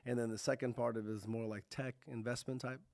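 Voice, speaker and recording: A male podcast guest from Kazakhstan, non-native in English, telling his story in loose, halting steps, close and dry as if across a small studio table. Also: medium pitch, little pitch movement, creaky, a little mellow, no room sound. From Kazakhstan, grew up partly in Texas. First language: not English. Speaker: podcast guest, tech entrepreneur. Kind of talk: casual interview chat.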